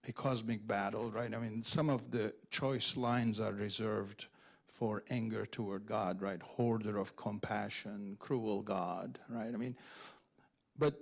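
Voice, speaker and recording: Man, very slow halting delivery, mid-pitched voice, scholarly, explanatory panel talk. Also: darkish tone. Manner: halting, scholarly, checks in with "right?". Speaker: literary translator on a panel. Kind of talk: unscripted explanatory literary talk. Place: public library event before an audience.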